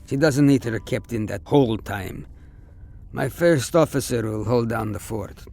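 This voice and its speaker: A man's voice: medium pitch, a little slow, gravelly, warm tone, faint music behind. A man playing a wry, good-humoured older starship captain in an audio drama. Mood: businesslike.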